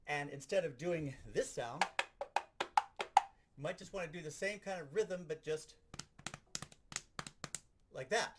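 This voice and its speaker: A man giving an animated, explanatory, hands-on lesson as a sound effects instructor. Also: fairly high pitch, unhurried, pauses often, very noisy.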